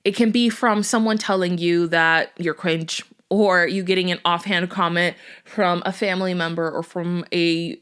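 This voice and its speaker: Young female podcast host, native Portuguese speaker, earnest and chatty, lower voice. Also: fairly even intonation, moderate pace, fairly bright tone, smooth. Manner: earnest, chatty, with fillers and small laughs. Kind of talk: faith-based motivational podcast talk.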